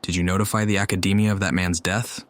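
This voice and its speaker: A woman playing a calm young traveler in a video game, with a wry, deadpan way of talking. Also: extremely low pitch, quick pace. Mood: probing, wry. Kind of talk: video game character acting.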